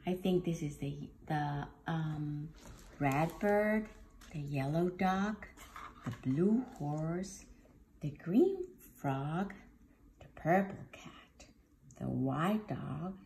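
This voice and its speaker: A woman preschool teacher reading a picture book aloud to young children in a sing-song, drawn-out way. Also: low voice, warm tone, slight background noise. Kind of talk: children's storybook read-aloud. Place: home dining room, indoors.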